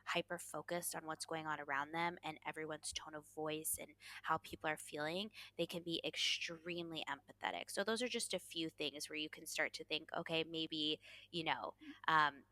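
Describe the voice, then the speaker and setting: Confident woman with a bright voice. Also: low-pitched, fairly even intonation, moderate pace, no room sound. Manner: confident, self-assured, talks in long flowing runs with "you know". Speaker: coach, podcast guest. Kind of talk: conversational interview answers.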